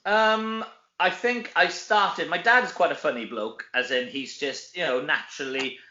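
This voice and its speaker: Welsh man, bright forceful voice, lo-fi roomy recording, chatty, self-deprecating, casual interview storytelling. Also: fairly high pitch, unhurried. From Wales. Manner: chatty, self-deprecating, often tags sentences with "you know". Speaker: actor and comedy performer, interview guest. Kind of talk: casual anecdotal interview chat. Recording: roomy echo, dull lo-fi sound.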